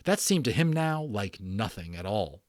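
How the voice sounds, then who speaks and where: A man reading a story aloud as a dramatic, expressive narrator, with casual explanations for listeners. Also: fairly low pitch, moderate pace, no room sound. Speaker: audiobook narrator and channel host.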